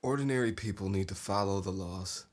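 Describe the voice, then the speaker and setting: An actor playing a tormented, resigned killer and estranged brother in a stage drama, speaking haltingly in a gravelly, husky voice. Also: male voice, sits low. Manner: slow, halting, haunted.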